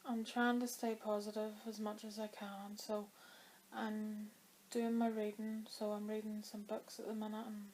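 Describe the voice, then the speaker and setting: A woman's voice: medium pitch, flat delivery, moderate pace, darkish tone, a little hiss or hum. A woman vlogging about her knitting in a chatty, rambling way, her throat dry as she comes down with a cold. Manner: chatty and rambling, with many fillers. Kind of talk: casual chat to camera.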